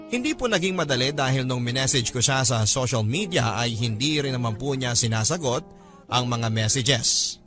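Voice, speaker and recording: A man's voice: medium pitch, fairly bright tone. Man narrating radio love story over music. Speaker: radio storyteller reading a letter. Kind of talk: first-person dramatic narration in classic Filipino radio style.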